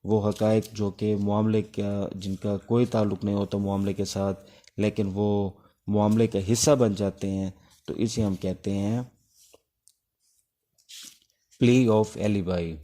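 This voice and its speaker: A man with a Pakistani accent giving an informal explanatory lecture as a law teacher, pausing often between phrases. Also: on the low side, level tone, warm tone.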